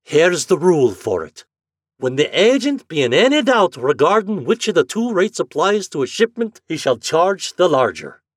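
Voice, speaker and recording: A man voicing a stubborn, rule-bound male express agent with a thick Irish accent, talking loud and firm. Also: on the high side, very animated, normal speed, dry recording, clean studio sound, voice pushed up. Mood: mocking.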